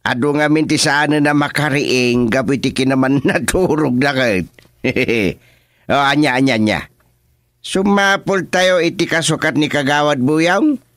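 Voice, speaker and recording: A man's voice: a little high, a little slow, fairly bright tone, dry recording, clean studio sound. An actor playing a plain-spoken, easily exasperated village chairman in a rural comedy radio drama. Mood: amused, deliberate.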